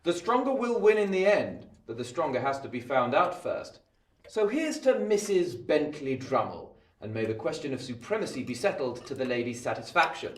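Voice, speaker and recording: An actor playing a shrewd, domineering lawyer in a radio play, with an imperious, declamatory manner. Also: male voice, fairly high pitch, very animated, moderate pace, recorded from a distance. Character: domineering, shrewd male lawyer, commanding host. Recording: echoey room, off mic, background noise.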